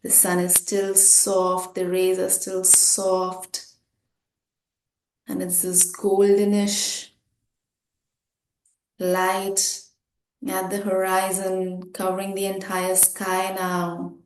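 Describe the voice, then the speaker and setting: Very slow, mellow woman guiding meditation. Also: mid-range voice, monotone, slight background noise. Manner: gentle, unhurried, pausing between phrases. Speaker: meditation teacher. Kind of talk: guided meditation, slow and spoken in short phrases. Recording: roomy, echoing sound.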